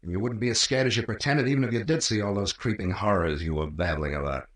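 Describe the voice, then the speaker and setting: An actor playing a bold, shrewd captain, mocking and self-assured, in 1940s radio mystery style. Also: male voice, fairly low pitch, fast talker, lightly acted. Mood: mocking, confident. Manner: commanding, taunting, self-assured. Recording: echoey old-time radio recording.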